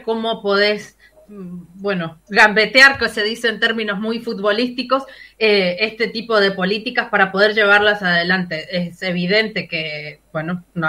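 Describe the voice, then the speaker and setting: A woman's voice: mid-range voice, fairly even intonation, normal speed, bright, forceful tone. A woman with a Rioplatense accent, an interviewer asking long, polite questions while searching for words. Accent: Rioplatense Spanish.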